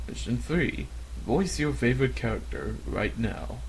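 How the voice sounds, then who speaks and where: A man's voice: mid-range voice, animated, unhurried, a little hiss or hum, poor audio. A man in his thirties with an American accent, an amateur voice actor giving a question-and-answer monologue on a cheap microphone. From United States. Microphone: cheap flexible desktop microphone.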